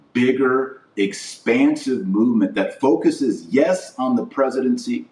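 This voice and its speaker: Low-voiced man in a roomy, distant recording. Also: a little mellow. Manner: emphatic, deliberate, repeats phrases for weight. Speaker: podcast host and speaker. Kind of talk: political-religious monologue to an audience. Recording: roomy echo, distant microphone.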